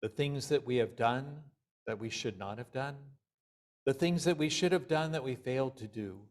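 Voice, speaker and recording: A male minister delivering a sermon in deliberate, measured phrases with pauses. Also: medium pitch.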